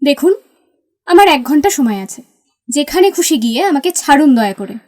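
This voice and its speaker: Actress doing high voiced young woman, annoyed n guarded, audio love story dialogue. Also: big pitch swings, normal speed, darkish tone. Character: guarded, irritated young woman resisting a pushy suitor.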